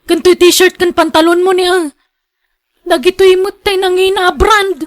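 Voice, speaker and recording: A woman's voice: very high-pitched, level tone, unhurried. An actress with an Ilocano accent playing a scolding, thrifty wife and mother in a radio drama. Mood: exasperated. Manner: scolding, reproachful. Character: nagging, thrifty wife and mother, exasperated with vain husband.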